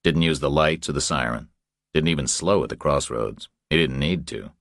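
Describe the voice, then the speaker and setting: A man's voice: rumbling low, moderate pace, fairly bright tone, no room sound, clean studio sound. A man reading a scripted thriller narration as an audiobook narrator.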